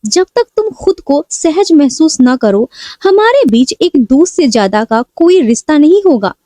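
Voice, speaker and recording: A woman storyteller voicing an earnest, protective male police officer in a soft, measured, grave way. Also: sits high, big pitch swings, dry recording, studio quality. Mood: gentle, reassuring.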